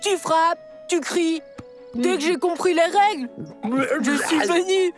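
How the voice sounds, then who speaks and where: Naive, eager little cartoon hero, very high, measured, exclaiming, faint music behind. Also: female voice. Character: enthusiastic, naive, childlike young cartoon hero. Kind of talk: children's animated series dub.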